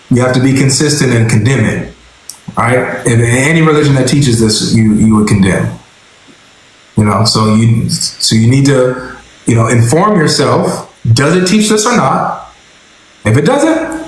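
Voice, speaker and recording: Man with a very echoey voice. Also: mid-range voice. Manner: calm, persuasive, repeats words while thinking. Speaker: host of a religious debate stream. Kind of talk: casual live debate chat. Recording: very echoey room.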